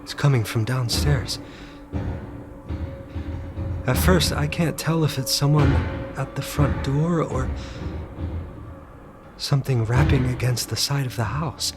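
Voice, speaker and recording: An actor playing a haunted, anxious guest in a supernatural audio drama, speaking in halting, short phrases with a dramatic score behind him. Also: male voice, medium pitch, over loud music. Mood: tense dread. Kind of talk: first-person audio drama narration with dialogue.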